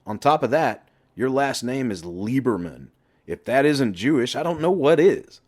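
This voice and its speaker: Brash, needling middle-aged buddy, played by a man, swinging pitch. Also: lively intonation, normal speed, a little mellow, close to an everyday voice. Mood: goading. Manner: blunt, emphatic, sweary banter.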